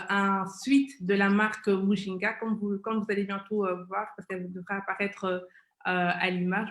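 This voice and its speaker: A woman hosting a live interview show, speaking in halting, repetitive phrases. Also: mid-range voice, a bit bright. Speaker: webmagazine founder and show host. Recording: roomy, echoing live-stream sound.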